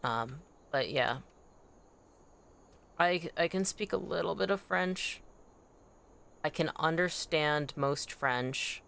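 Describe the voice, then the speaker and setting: Clear-voiced, excitable game streamer, native Anishinaabemowin speaker. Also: mid-range voice, slow pace, pauses often. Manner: animated, excitable, rambling with self-corrections. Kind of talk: casual livestream chat while gaming.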